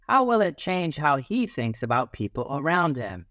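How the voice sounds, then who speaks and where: Male teacher-narrator for kids' educational picture book: lively melody, instructive delivery, close dry sound. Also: a little high, moderate pace, dry recording. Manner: animated, instructive, acts out little sounds and quotes. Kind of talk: expressive children's read-aloud.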